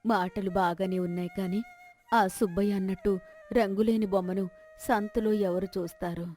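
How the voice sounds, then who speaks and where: Woman playing despairing village mother, widowed, anxious, pleading, medium pitch, folk-tale dialogue. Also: fairly even intonation, normal speed, mellow, faint music behind, lightly acted. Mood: fretful, sad. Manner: pleading, fretful.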